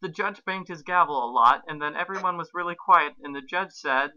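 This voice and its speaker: A man's voice: fairly high pitch, fairly quick, pushed and bright, muffled recording. A man hosting and narrating a comic amateur reading of fan fiction, in an amused, mock-serious way. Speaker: podcast host and narrator.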